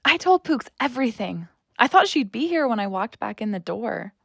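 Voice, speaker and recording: A woman's voice: a little high, sing-song, moderate pace, vocal fry, a bit bright, dry recording, clean studio sound, exaggerated voice. A woman playing an earnest, wounded young woman who is friendly and seeks connection, confiding in an audio drama. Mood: confiding, sad.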